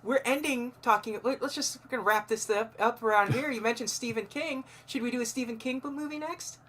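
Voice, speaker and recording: A woman co-hosting a podcast, chatting casually about films in a halting way, circling back over her phrases. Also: on the high side, a bit bright. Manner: halting, repeats and restarts phrases. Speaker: podcast co-host.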